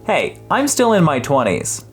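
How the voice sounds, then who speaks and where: Narrator acting an upbeat young dad, raised pitch. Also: wildly swooping pitch, a little slow, slightly rough, heavily acted. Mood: playful. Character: upbeat joking father in his twenties. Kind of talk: audiobook character dialogue. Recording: music behind the voice.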